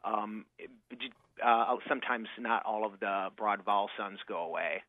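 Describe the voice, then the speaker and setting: A man's voice: on the low side, unhurried, no room sound. A man from Michigan, a dictionary editor, answering questions on a radio call-in show, hesitant with many ums and restarts. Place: remote studio in Boston. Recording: dry, phone-like audio.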